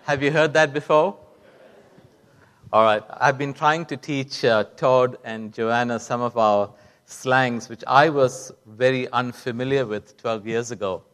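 A man's voice: mid-range voice, steady tone, unhurried, fairly bright tone, clean tone. A middle-aged man, a doctor telling his own story as a guest speaker on a conference stage. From Kuwait and South India. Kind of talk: public talk, personal storytelling.

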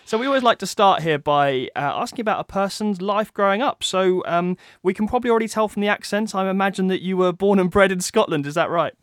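A man's voice: high voice, quick pace, few pauses, no room sound. A man hosting a podcast, introducing his guest and asking friendly, upbeat questions. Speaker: podcast host. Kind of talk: interview hosting.

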